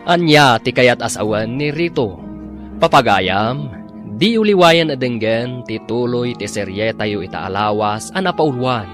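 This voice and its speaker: A man announcing a radio drama serial with drawn-out, theatrical, scripted delivery. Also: mid-range voice, expressive, a bit bright. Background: music.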